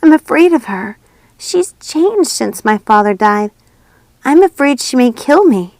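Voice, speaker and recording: A woman's voice: high voice, very animated, a little slow, darkish tone, no room sound, pitched above the performer's own voice, full character voice. A woman voicing a frightened, sorrowful little princess girl with a pleading, tearful manner in a storybook reading.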